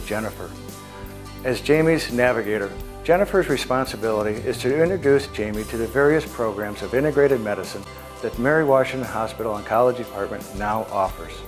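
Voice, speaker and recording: Low-toned male narrator over clear music. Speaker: narrator and team presenter. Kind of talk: scripted video introduction narration.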